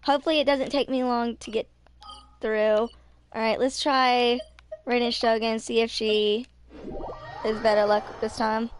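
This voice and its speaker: A woman's voice: a little high, little pitch movement, measured pace, pauses often, a little hiss or hum. A woman in her twenties chatting casually on a livestream while she plays a game. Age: 20s. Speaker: game streamer.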